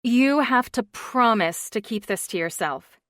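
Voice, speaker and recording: A woman's voice: a little high, lively intonation, a little slow, fairly bright tone, dry recording, pitched above the performer's own voice. A woman voicing a frustrated woman in her thirties, wry and exasperated, in a dry, close reading. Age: 30s.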